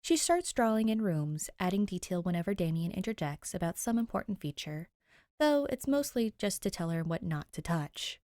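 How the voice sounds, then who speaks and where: A woman reading fiction aloud as an expressive podfic narrator. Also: medium pitch, normal speed, dry recording, studio quality. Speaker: podfic reader. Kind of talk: expressive scripted story narration.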